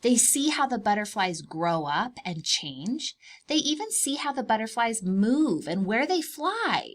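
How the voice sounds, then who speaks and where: A woman's voice: a little high, big pitch swings, measured pace. A woman preschool teacher reading aloud to young children, explaining things simply, asking questions and drawing out key words.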